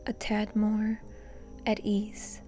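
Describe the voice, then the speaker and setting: A woman leading a guided meditation in a soft, breathy, often near-whispered voice, calm and gently instructing. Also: mid-range voice, extremely slow, soft background music. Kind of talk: soothing guided meditation narration.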